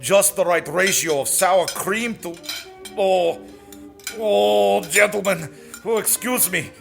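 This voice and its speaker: A man's voice: high-pitched, takes it slowly, gravelly, a bit bright, faint music behind, heavily acted. A man playing a fussy, polite, easily flustered Eastern European general in a comedic audio drama. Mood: flustered, unwell.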